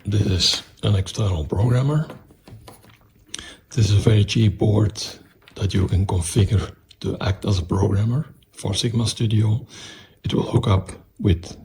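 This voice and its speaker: A man presenting a technical explanation of an electronics project in a halting, methodical, step-by-step way. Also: lowish voice, darkish tone, breathy edge.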